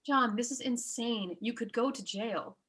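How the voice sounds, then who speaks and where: A woman plays a sensible young girlfriend in a comedy table read. She sounds earnest and disapproving, with a fairly high, bright, forceful voice. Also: a little slow, breathy edge. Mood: reproachful.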